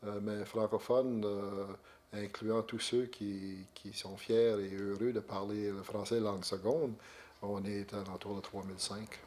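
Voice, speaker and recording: A man in his sixties with a Québécois accent, speaking in a measured, halting way with many fillers in a documentary interview. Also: lowish voice, level tone, darkish tone, a little hiss or hum. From Quebec, Outaouais. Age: 60s. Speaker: interviewee, longtime local resident.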